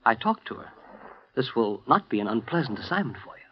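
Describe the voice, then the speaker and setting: A man's voice: mid-range voice, big pitch swings, fairly quick, husky. An actor playing an earnest middle-aged male insurance executive in a 1950 radio drama, hesitant and coaxing. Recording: dull 1950 radio transcription.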